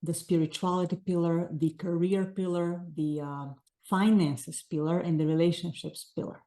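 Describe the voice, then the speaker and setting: A woman's voice: lowish voice, fairly even intonation, normal speed, mellow. A woman in her forties from Bulgaria, speaking with a Bulgarian accent as an author answering interview questions in earnest, flowing sentences. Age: 40s. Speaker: author guest.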